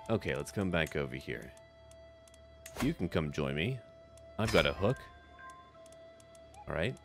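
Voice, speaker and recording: A man's voice: low-pitched, fairly bright tone. A man giving casual video game commentary, musing aloud and addressing the characters as he plays. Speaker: gaming video narrator. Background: background music.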